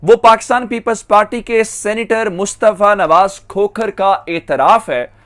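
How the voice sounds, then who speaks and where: High-pitched Pakistani male news commentator. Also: measured pace, a bit bright. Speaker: news commentator and channel host. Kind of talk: political news monologue for an online video channel.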